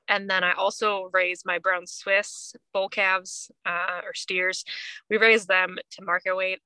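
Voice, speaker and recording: Bright-voiced woman in casual podcast chat. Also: medium pitch, smooth, no echo, rough recording. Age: 30s. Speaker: podcast co-host.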